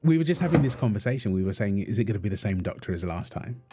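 A man playing a calm, teasing, reassuring young husband and father in a natural, intimate audio roleplay, talking gently to his partner and baby. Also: fairly low pitch, very fast, very noisy, lightly acted. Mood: friendly, amused.

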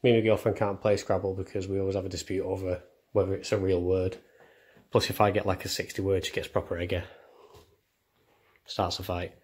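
Low-pitched young man. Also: level tone, brisk, long pauses, a little mellow. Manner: chatty, self-deprecating, jokey. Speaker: vlogger. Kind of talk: casual house tour narration. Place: indoors, walking through rooms of a house. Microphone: handheld phone camera.

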